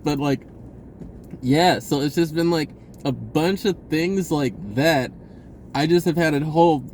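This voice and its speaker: A man telling a personal story in a casual, rambling vlog style, acting out bits of dialogue in an animated way. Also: mid-range voice, slight background noise. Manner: animated, acts out dialogue, chuckles often.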